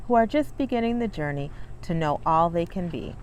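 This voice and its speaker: A woman's voice: lowish voice, animated, brisk, a little mellow, clear, resonant tone. A woman hosting a children's read-along, speaking in an encouraging, emphatic way as she introduces and reads a picture book. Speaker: read-along host and coach, reading with her daughter.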